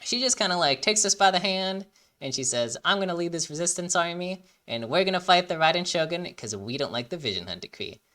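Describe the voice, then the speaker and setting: Bright, fast-talking man, very animated. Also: high voice. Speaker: reaction video host. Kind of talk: casual, rambling commentary on a game trailer.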